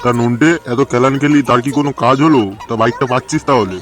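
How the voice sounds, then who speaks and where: A man's voice: mid-range voice, fairly even intonation, fast, music in the background, natural voice. A man voicing a foul-mouthed young neighbourhood club boss in a comedy cartoon, taunting and dismissive. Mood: teasing.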